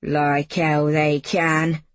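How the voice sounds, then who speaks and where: A woman's voice: sits low, flat delivery, very slow, no room sound. A woman performing a working-class English mum, outraged, suspicious, blunt scolding, storybook character, close dry sound. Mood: indignant. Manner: blunt, scolding, colloquial speech. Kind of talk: audiobook character voice.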